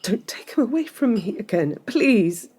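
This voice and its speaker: An actress playing a middle-aged, lovelorn mistress in an audio drama, articulate, insistent and emotional. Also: female voice, medium pitch, sing-song, moderate pace, a little mellow, husky. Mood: indignant, pleading. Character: desperate pleading mistress, lovelorn and long-suffering.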